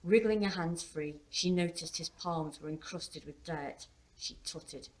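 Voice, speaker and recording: A woman author reading her own novel aloud as expressive story narration. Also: lowish voice, unhurried, rough recording.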